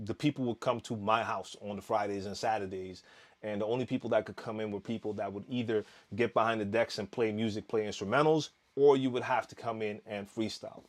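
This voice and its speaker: Relaxed man chatting on a live stream. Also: fairly low pitch, a little fast. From Netherlands. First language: Dutch. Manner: relaxed, chatty, reading viewer comments aloud with frequent fillers and restarts. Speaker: DJ hosting a live Q&A.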